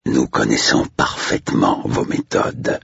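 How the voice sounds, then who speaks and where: A man voicing a stern, menacing, coldly determined man in an audiobook story, growling and deliberate. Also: a bit bright, dry recording, muffled recording, lightly acted. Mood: cold resolve.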